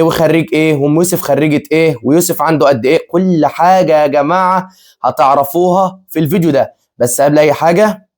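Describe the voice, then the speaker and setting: A man in his twenties with an Egyptian Arabic accent, chatting casually with viewers as a family vlog host, repeating himself and laughing often. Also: a little high. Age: 20s. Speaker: vlogger, channel co-host.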